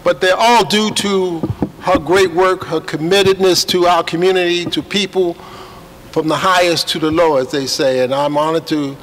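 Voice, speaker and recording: A man's voice: high voice, steady tone, moderate pace, fairly bright tone. A man acting as emcee at a reception, giving a rambling, folksy introduction. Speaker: event emcee. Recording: echoey hall with faint background.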